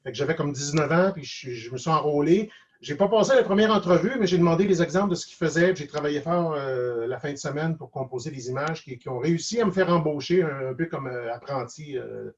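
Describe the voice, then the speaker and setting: A man with a Quebec French accent speaking casually and reflectively as a guest, hesitant and often repeating words. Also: fairly high pitch. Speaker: guest cartoonist and animator. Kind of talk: casual online interview, reflective advice. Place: video call. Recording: dull lo-fi online call.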